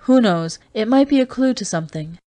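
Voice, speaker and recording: Woman reading as a blunt weary man, dull delivery, mellow tone. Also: a little high, expressive, fairly quick, voice pushed up, close to an everyday voice. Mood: flat.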